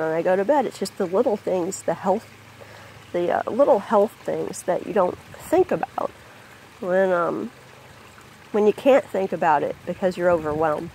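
A person talking casually outdoors by a backyard pond into a handheld phone, rambling and hesitant with many pauses and ums. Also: mid-range voice, gravelly, darkish tone, a little hiss or hum, rough recording. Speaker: vlogger. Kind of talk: casual spoken vlog. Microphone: phone held by the speaker.

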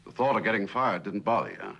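A man in middle age playing a blunt, sardonic company boss in a 1960s TV drama, with a gravelly, husky, dryly needling delivery. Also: lowish voice, fast, bright, forceful tone, dull audio, slight background noise. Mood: reproachful, sardonic. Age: middle-aged. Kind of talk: 1960s television drama acting.

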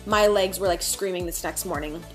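A woman's voice: lowish voice, moderate pace, faint music behind. A young adult woman chatting casually as a lifestyle vlogger, upbeat and full of "like" and "you guys". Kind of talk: casual try-on and workout vlog narration.

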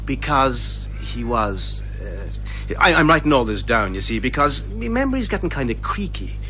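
A man's voice: medium pitch, big pitch swings, normal speed, sharp tone, faint music behind. A man playing a bumbling, genial police inspector who rambles hesitantly and folksily in a radio comedy drama. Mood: affable, flustered.

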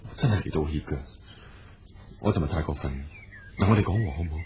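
A man's voice: rumbling low, fast talker, muffled recording, background noise. A man playing an earnest, persistent businessman in a radio drama. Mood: apologetic, gentle. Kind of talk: Cantonese radio drama acting. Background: music.

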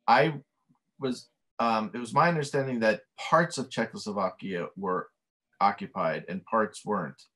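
A man's voice: fairly low pitch, measured pace, fairly bright tone, low-quality recording. A middle-aged man, a film director, answering interview questions casually over a video call from a home office, with many fillers. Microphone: webcam video call.

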